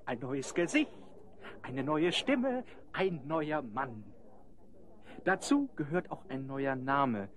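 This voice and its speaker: Here is a sly, vain swindler in a radio play, passing himself off as a nobleman. His delivery is theatrical and blustering, and his pitch swings freely. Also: big pitch swings, slow. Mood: musing, smug. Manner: theatrical, blustering, stumbling over his invented name.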